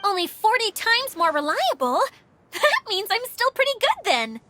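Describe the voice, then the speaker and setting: A woman's voice: very high-pitched, huge pitch swings, moderate pace, sharp tone, full character voice. A woman playing a bubbly, breezy and cheerfully self-satisfied girl caretaker in a video game cutscene. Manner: chirpy, breezy, unbothered.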